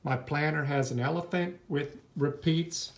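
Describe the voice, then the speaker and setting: A man's voice: on the high side, measured pace, gravelly. A man teaching art in an informal, chatty, rambling hands-on demonstration, easily drifting into asides. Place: at home, indoors. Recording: noisy home recording, clicks.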